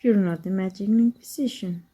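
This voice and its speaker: A woman playing an exiled evil magic teacher in an ASMR roleplay, wry and fond, speaking softly and intimately with a husky, breathy voice. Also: medium pitch, natural voice. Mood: wry, guarded. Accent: Mexican. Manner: wry, unhurried, intimate, addressing a former student.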